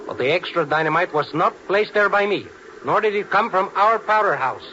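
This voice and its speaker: An actor playing a hot-headed Latin American engineer, emphatic and formal, in old radio drama. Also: male voice, high-pitched, lively intonation, fairly quick, pushed and bright. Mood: earnest, defensive. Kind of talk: 1950s radio drama acting. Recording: muffled, noisy 1950s radio recording.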